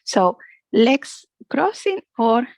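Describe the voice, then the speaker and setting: A woman's voice: on the high side, sing-song, very slow, dry recording. Woman guiding a dry-sounding relaxation class. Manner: gentle, instructive, often adding a questioning "yeah?". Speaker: yoga and relaxation instructor. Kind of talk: guided relaxation class with breathing and imagery.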